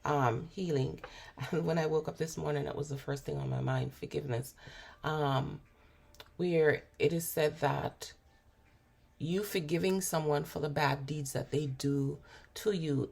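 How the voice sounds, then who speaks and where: A hoarse woman with a cold, giving a spiritual tarot reading in an unhurried, musing way with frequent pauses and fillers. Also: low voice, a little mellow. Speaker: tarot reader.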